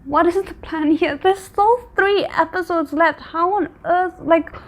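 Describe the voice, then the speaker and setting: A woman giving casual, expressive reaction commentary on a drama, thinking aloud as she goes. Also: extremely high pitch, a little slow. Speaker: reaction video host.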